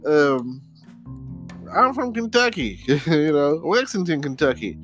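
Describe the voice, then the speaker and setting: A man from Texarkana in the United States hosting a casual solo podcast, talking in a halting, rambling way with repeated words and frequent check-in phrases. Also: fairly high pitch, sing-song, a bit bright, soft background music. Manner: rambling, halting, repeats words, says "you know" often. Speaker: podcast host.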